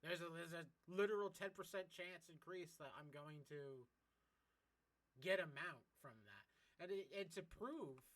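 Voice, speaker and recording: A man's voice: a little high, measured pace, a bit bright, poor audio. A man streaming a game, explaining things casually in a rambling, emphatic way with repeated points. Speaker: gaming streamer. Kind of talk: casual gameplay chat, explaining.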